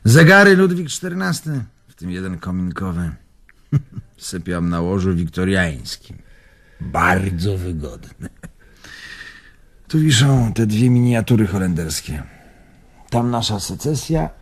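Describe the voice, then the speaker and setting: Boastful old collector in radio drama, low raspy-husky voice, theatrical and fond. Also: male voice, animated, slow, long pauses, heavily acted. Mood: proud, effusive. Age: older. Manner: theatrical, effusive reminiscing.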